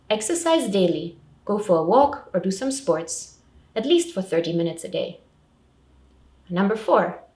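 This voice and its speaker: A woman's voice: mid-range voice, darkish tone. Woman giving advice in an echoey room. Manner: simple, clearly structured advice in short sentences. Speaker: refugee support counsellor presenting advice. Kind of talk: scripted public information talk. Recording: roomy echo, distant microphone.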